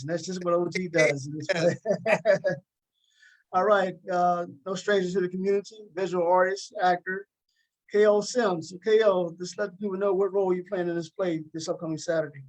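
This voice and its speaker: Guy hosting a laid back interview show, pretty high voice, encouraging, says things twice. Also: low-quality recording. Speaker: host interviewing a play's cast. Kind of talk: casual talk show interview.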